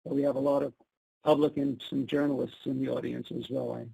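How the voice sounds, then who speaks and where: A man's voice: mid-range voice, level tone, fairly quick, creaky, warm tone, slightly rough, poor audio. A man with a Brooklyn accent presenting slides at a public meeting, explaining each picture step by step. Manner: explains slides step by step, asks for plain terms.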